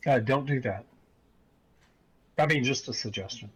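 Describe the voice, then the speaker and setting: Male racing-game teammate, medium pitch, casual conversational delivery on voice chat. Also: unhurried, breathy edge.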